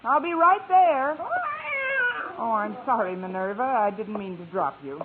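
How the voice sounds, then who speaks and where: Actress as lively American schoolteacher on tinny old radio. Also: female voice, medium pitch, unhurried, very noisy, close to an everyday voice. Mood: bewildered. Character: wry high school English teacher, woman, dreamy and romantic. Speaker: lead actress in a radio comedy. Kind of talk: vintage American radio sitcom acting.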